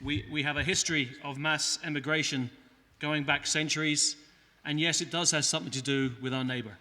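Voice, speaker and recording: A man with an English accent giving a measured speech as a guest speaker at a political panel, pausing with ums. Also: a little high, steady tone, bright, forceful tone, in a large hall. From born in England, Irish. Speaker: guest speaker, Irish senator.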